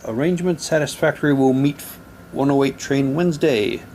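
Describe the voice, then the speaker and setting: A man's voice: medium pitch, moderate pace, darkish tone. Man in casual unboxing talk, noisy recording. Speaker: hobby vlogger unboxing audio drama sets. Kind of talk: casual unboxing talk, reading printed props aloud.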